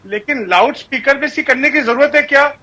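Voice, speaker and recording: A man's voice: very high voice, fairly quick, bright, forceful tone. A man arguing insistently as a panelist in a TV news debate, often repeating himself for emphasis. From India. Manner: insistent, repetitive, argumentative. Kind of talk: TV debate argument.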